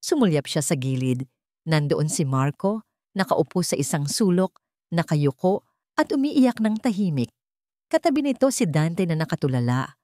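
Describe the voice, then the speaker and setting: Female storyteller for dramatic fiction, soft dark timbre, lower register, close dry recording. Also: sing-song, moderate pace, no room sound. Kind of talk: dramatic scripted narration.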